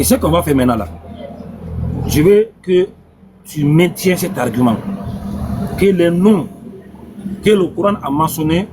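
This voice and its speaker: A man's voice: fairly high pitch, noisy background. A man in a heated religious debate, pressing his opponent with insistent, repetitive questions.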